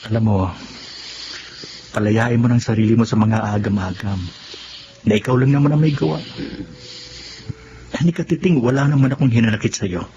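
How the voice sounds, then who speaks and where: A man's voice: mid-range voice, fairly even intonation, normal speed, long pauses, natural voice. A man playing a caring, playful and loyal best friend in a radio drama, reassuring and teasing. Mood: teasing, affectionate. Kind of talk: Tagalog radio drama acting. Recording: dull lo-fi audio, clear background noise.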